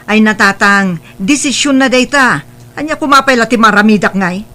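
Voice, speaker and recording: A woman playing a bright, defiant proud daughter. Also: a little high, moderate pace, slight background noise. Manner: curt and dismissive. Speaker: voice actor. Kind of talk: family radio drama.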